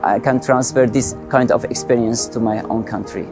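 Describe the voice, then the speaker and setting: Professor, hesitant, slow, guitar music behind. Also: male voice, medium pitch, steady tone. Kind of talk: personal testimony.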